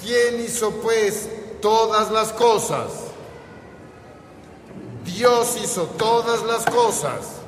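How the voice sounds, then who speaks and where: High-voiced preaching priest, echoing church. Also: male voice, a little hiss or hum. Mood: fervent, insistent. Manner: declamatory, measured, devout. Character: fervent, patient male priest teaching catechism to crowds. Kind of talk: radio drama, catechism call and response. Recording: echoey church hall, crowd responding.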